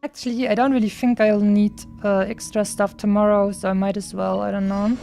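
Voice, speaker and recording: A woman's voice: mid-range voice, flat delivery, brisk, vocal fry, dark tone. A woman streamer giving casual, chatty gameplay commentary, rambling and thinking aloud as she goes.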